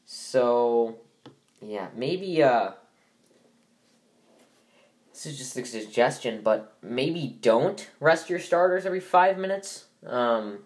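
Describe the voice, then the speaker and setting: Young man, stuffy nose, casual podcast host, rambling with lots of ums, mid-pitched voice. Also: animated, takes it slowly, pauses often. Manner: rambling, many fillers and restarts. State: stuffy from allergies. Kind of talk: casual sports podcast chat.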